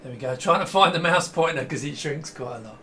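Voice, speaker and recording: High-pitched, bright-voiced middle-aged man. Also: lively intonation, a little fast, a little hiss or hum. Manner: enthusiastic, rambling, often breaking off mid-sentence. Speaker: host demonstrating a vintage computer. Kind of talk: casual hands-on demo talk to camera. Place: small indoor home room with computer gear.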